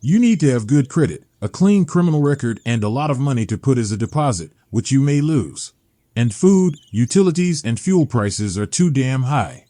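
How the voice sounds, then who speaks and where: A man's voice: medium pitch, expressive, normal speed, dark tone, no echo, close to an everyday voice. A man playing an agitated, embittered homeless middle-aged ex-teacher, blunt, folksy and profane, in a dramatized comic. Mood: bitter ranting.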